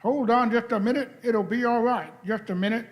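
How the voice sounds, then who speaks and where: A man plays an eager young adventurer in a sci-fi radio play. His voice is high for a man, earnest and a bit flustered, tripping over hesitations. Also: a little fast. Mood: nervous bluffing. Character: earnest, flustered young male space-travelling companion. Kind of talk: live radio play reading.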